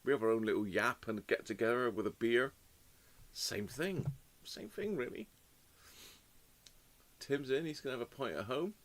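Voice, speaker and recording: Casting: male host, a bit hoarse from a cold, rambling and friendly, greets a live chat one name at a time on a desk mic at home. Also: mid-range voice, lively intonation, normal speed, long pauses. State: slightly hoarse with a cold and cough. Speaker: host of a live radio repair stream. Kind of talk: casual live-stream chat greeting viewers. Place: home workshop. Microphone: desk microphone.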